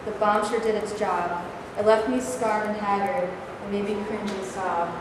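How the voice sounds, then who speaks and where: A woman's voice: mid-range voice, fairly even intonation, moderate pace, natural voice. A woman in a staged reading, playing a scarred bomb survivor who recites simple verse. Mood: mournful, plain. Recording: distant, very echoey noisy hall recording.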